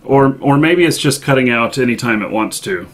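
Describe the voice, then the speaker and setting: A man hosting a casual live-stream interview, good-humored and halting as he puts questions to a guest. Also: medium pitch, lively intonation.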